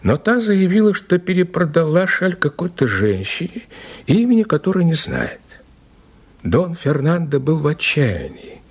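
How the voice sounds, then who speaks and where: An actor in an audio play as a respectful police inspector, recounting a case in an emphatic, dramatic way with a slightly husky voice. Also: male voice, a little high, measured pace, exaggerated voice. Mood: gravely narrating. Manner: animated, respectful, emphatic storyteller.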